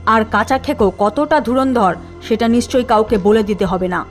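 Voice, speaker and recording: A woman voicing a cautious, shrewd ghost squad leader who advises in a measured way. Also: a little high, faint music behind, lightly acted.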